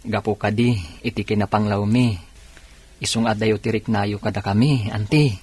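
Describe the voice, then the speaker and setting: A man's voice: on the low side, steady tone, normal speed, a little mellow, husky. A man playing a humble, earnest nephew from a poor family in an Ilocano radio drama. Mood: humble, forgiving.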